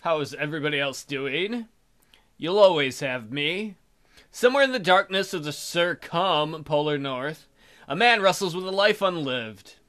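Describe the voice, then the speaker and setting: A man's voice: on the high side, expressive, normal speed, fairly bright tone. A man hosting a let's play, reading game text aloud expressively and adding casual jokey commentary.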